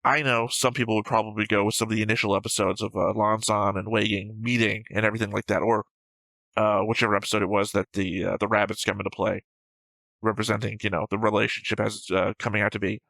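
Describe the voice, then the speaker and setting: Man, low pitch, gravelly edge, bright forceful tone, opinionated co-host, casual debate. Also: little pitch movement, fast talker, no echo, poor audio. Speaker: co-host of a reaction show. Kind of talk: casual discussion and episode commentary.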